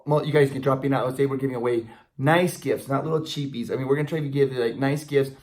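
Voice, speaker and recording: A man's voice: medium pitch, fairly even intonation, fast talker, a little mellow. A middle-aged man with an American accent, chatting casually and telling stories to fans as a video co-host, often rephrasing himself. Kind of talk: casual chat and storytelling to fans. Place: small indoor room in front of a logo banner.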